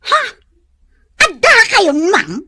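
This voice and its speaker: A woman acting a cheeky, giggly housemaid in a radio drama, exclamatory and animated. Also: extremely high pitch, dry recording, shouting, clean studio sound, exaggerated voice. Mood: flustered, amused. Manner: exclamatory, animated, drawn-out delivery. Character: cheeky, giggly housemaid teasing her boss.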